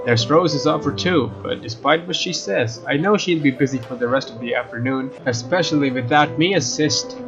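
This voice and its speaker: Male let's player, fairly high voice, expressive story narration with casual asides, loud music behind. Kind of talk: reading a visual novel aloud with casual asides. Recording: muffled sound under loud music. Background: loud game music.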